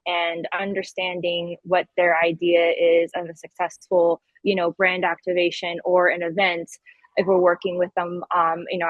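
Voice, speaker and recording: A woman's voice: on the low side, no echo, narrow, tinny audio. A woman in her thirties from Connecticut chatting informally as a guest in a casual interview. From Connecticut, US East Coast. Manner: informal, conversational. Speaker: podcast guest, event planner.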